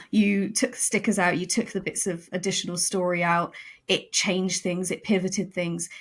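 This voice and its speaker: Animated, self-mocking woman podcast guest. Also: lowish voice. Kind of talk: casual podcast chat.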